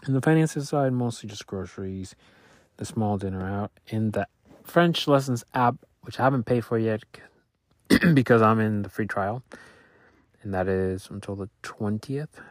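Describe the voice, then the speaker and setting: A man in his thirties whose first language is Spanish, talking through his week in a thoughtful, halting way and often restarting his sentences. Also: lowish voice, no echo. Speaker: podcaster reflecting on his week. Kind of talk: casual reflective monologue. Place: Louisiana.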